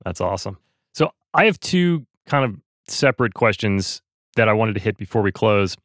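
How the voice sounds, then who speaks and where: A man's voice: lowish voice, sing-song, normal speed, fairly bright tone. A man hosting a podcast interview, giving short encouraging replies and asking questions. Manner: encouraging, affirming. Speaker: podcast host. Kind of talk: interviewing, brief responses.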